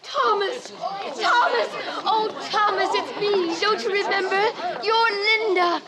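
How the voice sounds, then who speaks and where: An actress playing a desperate, clinging woman in a 1950s radio drama, pleading effusively in a shrill voice. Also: takes it slowly. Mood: desperate, overjoyed. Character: desperate, clinging abandoned woman greeting her lost lover. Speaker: radio actor. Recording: old radio recording with crowd.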